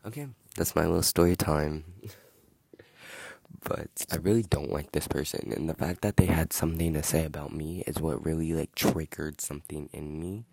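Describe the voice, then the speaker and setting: A man's voice: extremely low pitch, fairly even intonation, moderate pace, creaky, darkish tone, dry recording, low-quality recording. A young man hosting a podcast, telling a story in a rambling, filler-heavy, indignant way. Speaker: podcast host. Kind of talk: casual storytelling monologue. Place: outdoors in wind.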